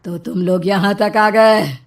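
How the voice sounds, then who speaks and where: A woman's voice: medium pitch, recorded from a distance, soft background music, lightly acted. A woman voicing a vengeful, menacing witch ghost who lures children, in a theatrical cartoon style. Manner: menacing, theatrical, drawn-out taunting. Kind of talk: Hindi cartoon story acting.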